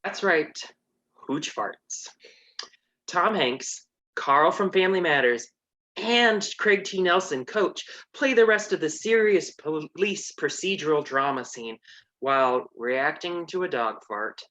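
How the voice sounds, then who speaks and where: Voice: mid-range voice, lively intonation, slow, a bit bright. Sassy comedy narrator, voice swings all over, mock-serious storytelling. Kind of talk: comedic scripted narration with commentary.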